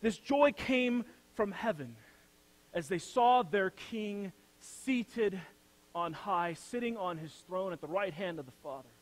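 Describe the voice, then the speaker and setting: High-voiced young male pastor preaching. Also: animated, slow pace, low-quality recording. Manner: builds to loud emphatic peaks, then drops to soft low phrases. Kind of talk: sermon. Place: church sanctuary, speaking from the pulpit.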